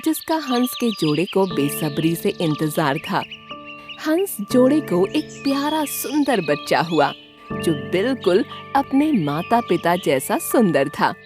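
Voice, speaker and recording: A woman's voice: lowish voice, sing-song, slow pace, over music. A woman narrating a scripted children's story.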